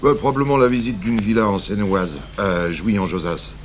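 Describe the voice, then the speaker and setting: A man's voice: lowish voice, moderate pace, close to an everyday voice. An actor playing a keen, deferential male police inspector, reporting casually and informatively in a French radio crime drama. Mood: keen, businesslike. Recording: faint noise, old radio recording.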